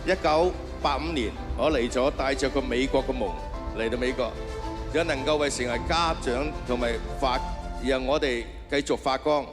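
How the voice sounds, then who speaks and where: A man's voice: mid-range voice, normal speed, long reverb tail, over music. Hesitant man. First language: Cantonese. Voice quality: hesitant, many fillers. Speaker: award recipient. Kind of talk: halting acceptance speech.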